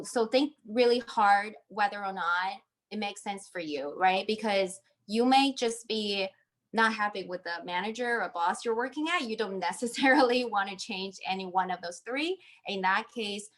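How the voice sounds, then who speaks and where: A woman speaks as an interview guest on a video call. Her voice sits at a medium pitch, and she gives advice in a conversational way. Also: a bit bright. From Taiwan. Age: 30s. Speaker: interview guest, MBA graduate. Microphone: video call microphone.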